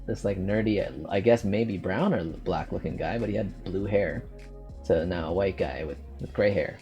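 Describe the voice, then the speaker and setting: A man's voice: sits low, normal speed, darkish tone, faint music behind. A man with a Canadian accent hosting a podcast, chatting casually and rambling with frequent restarts. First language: English. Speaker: podcast host. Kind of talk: casual chat.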